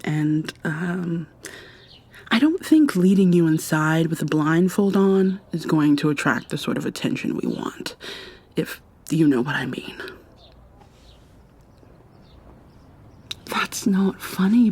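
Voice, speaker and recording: A woman playing a slow, soft, gently commanding girlfriend. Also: sits low, animated, slightly rough, heavily acted. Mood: firm, playful. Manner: soft, intimate, coaxing, often dropping to a whisper. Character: affectionate, teasing, gently commanding dominant girlfriend. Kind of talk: ASMR roleplay.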